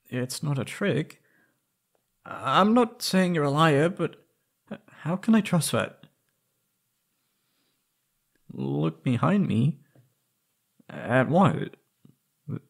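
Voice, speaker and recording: A man's voice: high voice, full character voice. A man playing a timid, frightened young male captive in an ASMR roleplay drama, stammering nervously and hesitating. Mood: bewildered, wary. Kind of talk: ASMR roleplay audio drama, intimate monologue.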